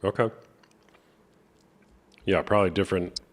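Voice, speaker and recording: A man's voice: low-pitched, steady tone, measured pace, slight background noise. A man about to turn forty who hosts a casual debate, talking bluntly and chattily and reading out sources. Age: 30s.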